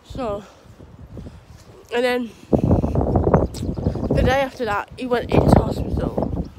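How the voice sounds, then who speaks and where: A woman's voice: on the high side, steady tone, slow. A young woman with a cold, talking through a thick, clogged throat in a hesitant, rambling vlog update while walking outdoors. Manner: rambling, hesitant, many restarts and fillers. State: has a cold, sore throat, runny nose. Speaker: vlogger. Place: outdoors on a street, walking dogs. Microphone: phone held low at arm's length. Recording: phone recording outdoors with traffic noise.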